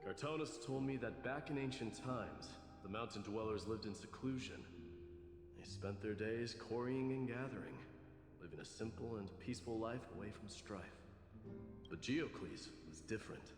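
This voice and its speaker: Guy doing grave proud fantasy prince, measured, low voice, music behind. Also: close to an everyday voice. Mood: reflective storytelling. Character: solemn proud warrior prince telling old tales. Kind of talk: dramatic fantasy game voice acting. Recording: echoey hall with music behind.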